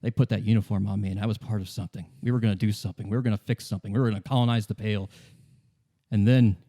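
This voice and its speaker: A man playing a bitter, weary old communist deserter in a radio play, a defiant former commissar who speaks haltingly and insistently. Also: fairly low pitch, steady tone, dark tone. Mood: haunted, reminiscing. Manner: halting, repeating himself, grimly insistent.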